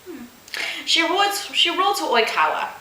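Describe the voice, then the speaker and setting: A woman's voice: very high-pitched, sing-song, normal speed, bright, forceful tone, reverberant, rough recording. A woman reading comic fiction aloud as host, theatrical, with sarcastic, exasperated asides. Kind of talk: comedic dramatic reading with asides.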